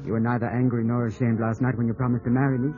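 A man's voice: mid-range voice, level tone, racing, natural voice. A man playing an ardent, impulsive young man of twenty-five in a radio drama, speaking in an earnest, formal, intense way. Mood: pleading, intense. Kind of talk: 1950s radio drama acting. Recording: muffled old radio broadcast with music behind.

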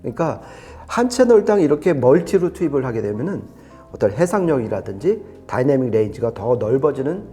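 Guy reviewing hifi gear, mellow voice but really animated pitch, chatty and explaining tech stuff. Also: brisk, soft background music. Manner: explanatory, emphatic, conversational. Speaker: audio equipment reviewer explaining specs. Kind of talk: unscripted technical review talk.

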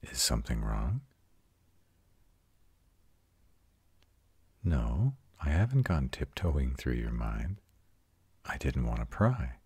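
A man voicing a tender, protective male vampire in an intimate, hushed audio roleplay, soothing and husky. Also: no echo, exaggerated voice. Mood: tender, concerned. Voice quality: very deep, husky, often breathy whispering. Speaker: voice actor.

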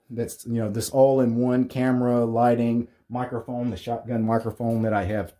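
A man in his thirties with a deep voice, casually walking through his home studio gear, rambling with fillers and restarts. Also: dark tone. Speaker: tech YouTuber. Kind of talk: casual equipment walkthrough. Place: small home studio with acoustic foam panels.

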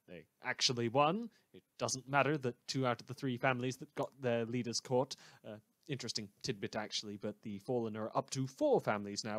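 A man's voice: medium pitch, no echo, close to an everyday voice. A man playing a smug, rambling small-town radio news host in a fan audio drama, theatrical and wry, repeating phrases for emphasis. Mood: gleefully excited.

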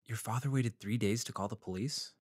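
A man playing an earnest freelance journalist in a fiction podcast, measured and tentative as he interviews and narrates. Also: fairly low pitch, a little mellow, dry recording, studio quality, natural voice. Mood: gentle, probing. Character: earnest, tactful male freelance journalist narrating his investigation. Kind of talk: fiction podcast acting, scripted first-person narration.

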